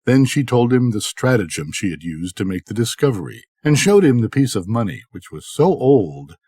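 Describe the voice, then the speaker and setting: An older man narrates a bedtime tale in measured, expressive storytelling. His pitch moves around a great deal, and the recording is close and dry. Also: slightly rough, dry recording. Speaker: storybook reader.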